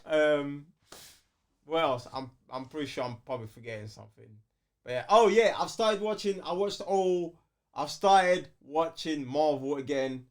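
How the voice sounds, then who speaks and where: A man's voice: a little high, normal speed. Slangy London man in casual banter. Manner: slangy, repeats phrases for emphasis. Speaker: podcast co-host.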